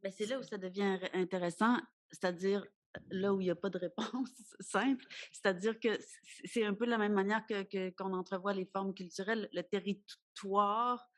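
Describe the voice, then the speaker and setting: A woman's voice: mid-range voice, steady tone, normal speed. A woman speaking thoughtfully as a panelist in an academic round table, often repeating words as she thinks.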